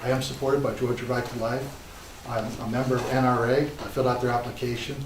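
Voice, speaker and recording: Man, political candidate, soft-spoken but assertive, echoey, far from mic. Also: mid-range voice, flat delivery, brisk. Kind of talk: candidate forum opening statement. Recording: roomy hall, distant mic, noisy.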